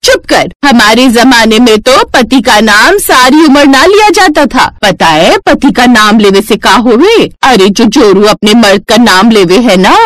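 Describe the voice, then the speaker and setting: A woman's voice: on the high side, no echo. A woman voicing a scolding, old-fashioned elderly grandmother-in-law in a story, with an exclaiming manner. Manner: exclaiming, scolding, rustic dialect speech.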